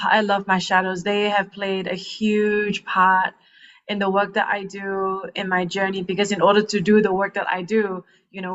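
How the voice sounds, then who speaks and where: A woman in her thirties from Malaysia, a coach telling her story in a casual podcast chat, animated and laughing often. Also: mid-range voice. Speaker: guest, transformational coach. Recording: muffled lo-fi sound.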